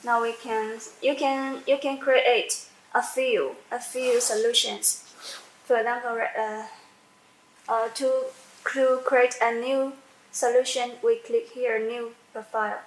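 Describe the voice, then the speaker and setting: An echoey recording of a woman with a slightly high voice presenting a software tutorial. She speaks slowly and haltingly, step by step, often repeating a phrase while she finds the next word. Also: little pitch movement. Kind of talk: unscripted step-by-step software demonstration. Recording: echoey room with faint background noise.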